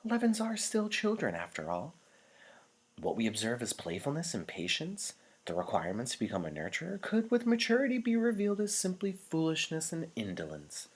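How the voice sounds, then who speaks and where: A gracious, commanding woman elder as played by a male narrator speaks to her community in a storybook reading. The voice is lifted in pitch with a slightly husky grain, formal and measured throughout. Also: huge pitch swings, heavily acted. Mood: measured, explanatory. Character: gracious, commanding female chief elder addressing a crowd.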